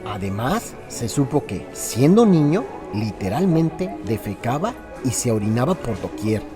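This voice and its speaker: A man's voice: mid-range voice, big pitch swings, a little slow. A man narrates a scripted true-crime radio serial with emphatic, theatrical storytelling phrasing. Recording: music bed under the voice. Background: music.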